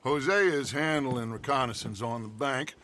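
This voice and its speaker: An actor playing a calm, courteous middle-aged outlaw leader in a video game, speaking in a measured, smooth way. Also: male voice, mid-range voice, a little hiss or hum, natural voice. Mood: scheming.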